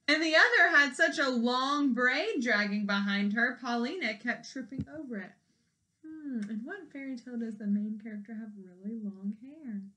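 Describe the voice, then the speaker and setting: Woman, high bright voice, animated children's read-aloud, explanatory storyteller. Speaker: storyteller reading a picture book aloud. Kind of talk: expressive children's read-aloud with questions to listeners.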